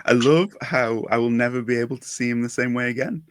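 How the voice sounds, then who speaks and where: A man's voice: mid-range voice, fast talker, smooth, no room sound. A man interviewing in a casual podcast chat, informal and enthusiastic in manner.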